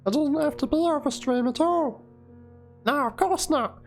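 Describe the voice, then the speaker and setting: Squeaky, very high-pitched evasive streamer, halting and noncommittal, music underneath. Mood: evasive, hesitant. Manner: halting, noncommittal, full of ums. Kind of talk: dramatic reading of chat messages.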